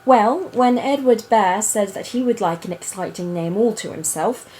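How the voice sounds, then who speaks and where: A woman puts on a whimsical storybook narrator with a medium pitch. The reading is expressive and animated, made for a children's book. Noise is audible behind it. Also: moderate pace, lightly acted, low-quality recording.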